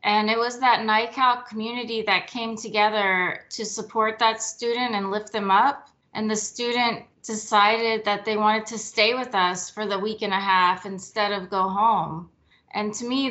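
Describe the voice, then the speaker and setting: A woman's voice: medium pitch, fairly even intonation, measured pace, bright, forceful tone. A woman hosting an interview, speaking in a heartfelt, sincere way. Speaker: program host. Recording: dull lo-fi audio.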